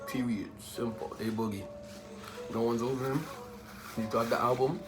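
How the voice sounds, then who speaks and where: A young man from the Bahamas chats through a vlog Q&A with a laid-back, joking manner and plenty of street slang. His voice sits at an everyday middle pitch. Music plays clearly behind him. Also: fairly even intonation, normal speed. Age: young adult. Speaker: vlogger answering viewer questions. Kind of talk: casual slangy Q&A chat.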